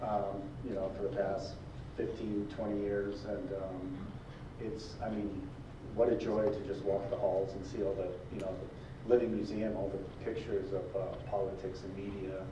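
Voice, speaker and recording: A middle-aged man reflecting in halting phrases as a documentary maker on a discussion panel. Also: deep voice, little pitch movement. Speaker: documentary crew member on a panel. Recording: muffled, echoey room, distant mic, noisy.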